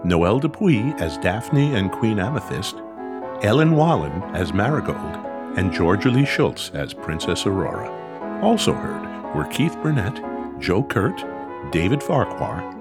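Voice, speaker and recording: A man's voice: lowish voice, big pitch swings, measured pace. A man announcing in the style of scripted audio drama credits. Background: music.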